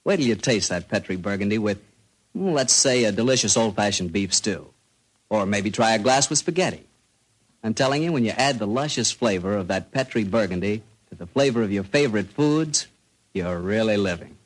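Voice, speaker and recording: Lively American 1940s radio announcer man. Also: on the low side, normal speed, rough recording. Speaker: radio announcer and sponsor pitchman. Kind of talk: 1940s radio announcing, folksy sales pitch.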